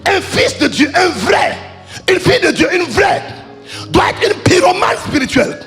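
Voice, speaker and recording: Man, pastor, fiery sermon, sing-song shouting, lively pitch, soft music behind. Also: normal speed. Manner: preaching with sung, shouted crescendos then dropping low; asks the congregation questions and answers them himself. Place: church service.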